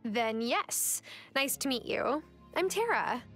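An actress playing a friendly young woman new in town in a video game, casual, polite and gently wry. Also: on the high side, very animated, takes it slowly, husky, faint music behind, full character voice.

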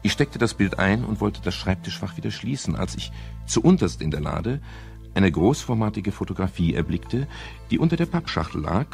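An actor playing a wry, world-weary private detective in a 1970s German radio crime drama, speaking in a dry, measured and polite way. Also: male voice, fairly low pitch, vocal fry, a little mellow. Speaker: lead actor and first-person narrator. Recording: 1970s radio play with music.

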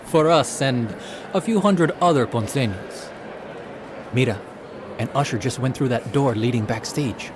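Man as a lively, bold Puerto Rican father. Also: medium pitch, measured pace, lightly acted. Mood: bold, encouraging. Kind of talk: audio drama acting. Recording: clear background ambience noise.

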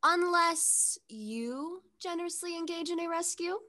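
A woman's voice: extremely high pitch, a little slow, pushed and bright, exaggerated voice. A young woman playing a knowing, outspoken lady's companion in a period stage comedy. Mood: dramatic, pleading.

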